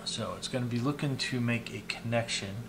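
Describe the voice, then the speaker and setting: A man in his fifties giving a casual, unhurried hands-on product demo, thinking aloud as he goes. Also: mid-range voice. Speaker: product reviewer and video host. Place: small indoor hobby room at a desk. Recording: clear background noise, room ambience.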